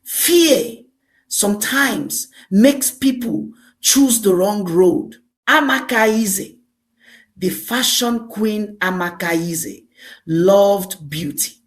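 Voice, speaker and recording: A woman narrating a scripted dramatic folktale as a storyteller, speaking deliberately in short, emphatic phrases. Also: medium pitch.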